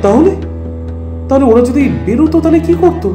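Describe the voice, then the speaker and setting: A man voicing an anxious, excitable wife who stammers and repeats words in an animated family drama. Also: extremely high pitch, brisk. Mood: distressed. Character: anxious wife and mother, excitable, easily shocked. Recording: roomy echo with music behind.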